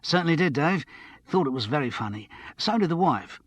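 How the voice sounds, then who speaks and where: A man's voice: fairly high pitch, animated, a little fast, slightly rough, no room sound, pitched above the performer's own voice. A man voicing a chatty, ingratiating pub barman in an audiobook story. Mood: fawning. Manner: eager, ingratiating.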